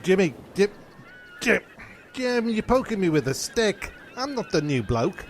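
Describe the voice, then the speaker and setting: A man's voice: sits high, big pitch swings, unhurried, slight background noise, full character voice. A man acting an agreeable, mild-mannered castaway gang member in a comedic radio play. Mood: plaintive.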